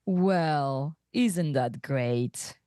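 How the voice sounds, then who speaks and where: A woman's voice: deep voice, expressive, very slow, mellow, smooth, dry recording, clean studio sound, heavily acted. A woman reading the part of a seductive, unapologetic female alien space rogue in a comedic table read. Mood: sultry, sly.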